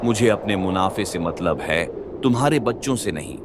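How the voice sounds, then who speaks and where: Man voicing a very deep, greedy village merchant, cartoon, noisy track. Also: animated, moderate pace, natural voice. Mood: cold, dismissive. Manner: curt, dismissive, later remorseful. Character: greedy middle-aged male village trader, cold and stubborn.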